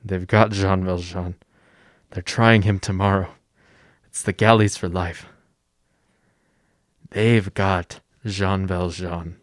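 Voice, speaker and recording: An actor playing a tormented ex-convict mayor in a radio drama, brooding haltingly and questioning himself. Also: male voice, sits low, fairly even intonation, no room sound, clean studio sound. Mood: anguished. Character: tormented ex-convict mayor wrestling with his conscience.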